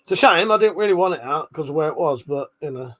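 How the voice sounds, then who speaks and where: A man with a British accent and a voice a little on the high side hosts a casual livestream. He rambles informally, swears freely, and moves between reading comments aloud and replying to them. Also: animated, fast talker, fairly bright tone. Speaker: livestream host.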